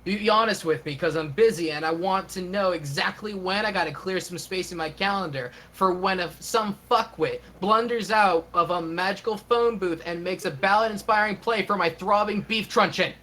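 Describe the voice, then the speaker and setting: A man's voice: sits high, normal speed, few pauses, pushed and bright. A man playing a crude, cocky young internet chatter in a comic reading, sarcastic and wordy. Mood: mocking. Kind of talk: comic acted chat-log reading.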